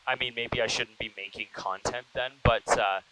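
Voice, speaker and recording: A man hosting a casual interview, talking in a halting, rambling way with repeated false starts. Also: medium pitch, steady tone, sharp tone, rough recording. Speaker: podcast host, interviewer. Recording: noticeable background noise.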